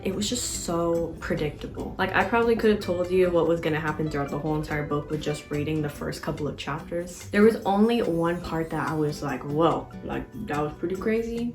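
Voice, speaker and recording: Low-toned young woman, echoey room. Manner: informal, chatty. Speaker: book vlogger. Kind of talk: casual chat to camera, book review. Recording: roomy echo, music in the mix.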